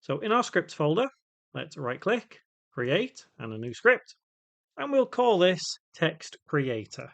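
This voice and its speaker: A man hosting a step-by-step software tutorial, explaining each piece in turn. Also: a little high, sing-song, slow pace, vocal fry, muffled recording. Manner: explanatory, spells out code piece by piece. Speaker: tutorial host.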